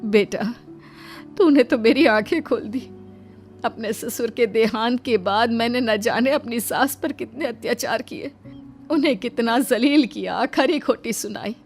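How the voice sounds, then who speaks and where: A proud middle-aged mother-in-law from a storybook family drama, acted out by a woman. The voice is on the high side and dramatic, over background music. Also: a little slow. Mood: remorseful. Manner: sharp-tongued, dramatic.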